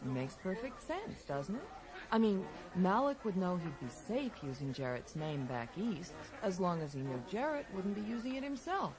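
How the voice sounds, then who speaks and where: A woman's voice: on the low side, sing-song, normal speed. An actress playing a witty, teasing woman in a radio drama, wry and playful. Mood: speculative, teasing. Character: witty, teasing American woman speculating on a mystery. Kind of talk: 1980s radio drama acting.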